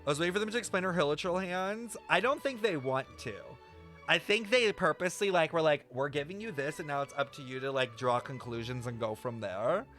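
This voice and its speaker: A chatty man streaming a game, his voice pitched a little high over background music. He talks in a loose, slangy way and pokes fun at himself as he reacts to the story. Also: fairly quick, fairly bright tone. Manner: chatty, slangy, self-deprecating. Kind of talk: casual live stream chat reacting to a game.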